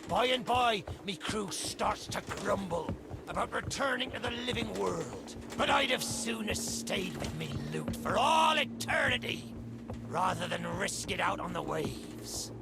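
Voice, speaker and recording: An actor playing a greedy, roguish old skull in a video game, with a theatrical storytelling manner. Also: male voice, high voice, very animated, a little slow, vocal fry, over loud music, full character voice. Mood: storytelling, gloating. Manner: theatrical storytelling, archaic pirate dialect. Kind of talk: video game character acting.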